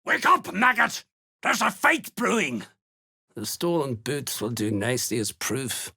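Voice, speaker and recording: An actor playing a surly, menacing dwarf slave hunter in a video game, sneering and blunt with a gravelly, husky voice. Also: male voice, mid-range voice, wildly swooping pitch, a little slow, sharp tone, exaggerated voice. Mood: aggressive. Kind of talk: video game character voice lines.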